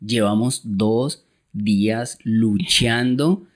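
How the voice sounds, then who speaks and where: A man's voice: fairly low pitch, steady tone, very slow. A man with a Colombian Spanish accent co-hosting a travel podcast in a conversational style. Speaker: podcast co-host.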